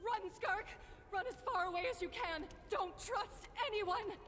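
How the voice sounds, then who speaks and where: A woman's voice: very high voice, measured pace, fairly bright tone, long reverb tail, faint music behind. A woman voicing a brave, injured mother in a game cutscene, urgent and loving. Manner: urgent then whispering.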